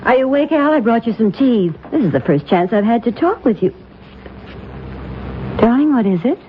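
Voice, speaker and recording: Loving anxious wife, hurt, dramatic swooping intonation, thin phone-like vintage radio sound, faint hiss. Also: female voice, on the high side, big pitch swings, moderate pace. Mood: tender, worried. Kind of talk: old-time radio drama acting. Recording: tinny, noisy old radio recording.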